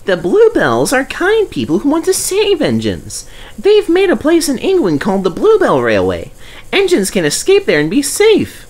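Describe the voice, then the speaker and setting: A man voicing a young, eager and excitable little tank engine with a squeaky voice, for a storybook. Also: normal speed, heavily acted. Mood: eager, earnest.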